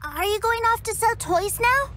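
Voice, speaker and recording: A woman's voice: extremely high pitch, expressive, normal speed, noisy background. A woman voicing an eager, sweet little boy who talks chirpily and earnestly in a video game. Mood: cheerful. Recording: game audio with loud music.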